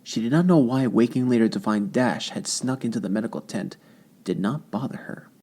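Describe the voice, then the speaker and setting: A narrator reading dramatic fan fiction in a solemn, measured way with deliberate phrasing. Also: mid-range voice, husky. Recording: loud music under the voice. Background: loud music.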